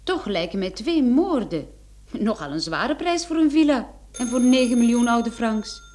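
High, lively female private detective, acted by a woman. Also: normal speed, close to an everyday voice. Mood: curious, confident. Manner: composed, polite, pointed. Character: cool, persistent young female private detective. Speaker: actress in a radio play. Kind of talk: Dutch-language radio drama acting.

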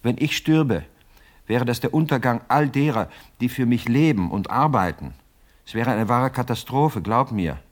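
An actor playing a pompous, miserly older widower in a radio play, self-justifying and fussily indignant, with a husky voice. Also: male voice, medium pitch, expressive, normal speed, lightly acted.

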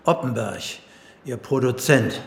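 A man's voice: medium pitch, a little slow, gravelly. An actor playing a gossipy doorman who chuckles and talks conspiratorially. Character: gossipy man, a building doorman.

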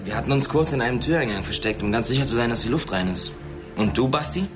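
A laconic, dismissive schoolboy in a radio drama, played by a man. He talks in short, offhand slang at an ordinary pitch. Music plays clearly behind him. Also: animated, quick pace, natural voice. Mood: recounting, tense. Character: laconic, guarded teenage schoolboy, streetwise and dismissive. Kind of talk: naturalistic German radio drama dialogue.

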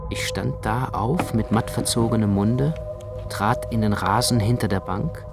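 An actor playing a detached, reflective first-person narrator in a literary radio play, measured and precise in his telling. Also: on the low side, vocal fry. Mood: detached narrating. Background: music.

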